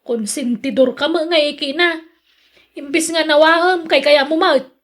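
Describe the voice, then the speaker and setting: A woman acting as a jealous Ilocano wife in a radio drama, her voice pitched high. She is hardworking and exasperated, and she nags and complains. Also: unhurried, fairly bright tone. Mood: scolding, complaining.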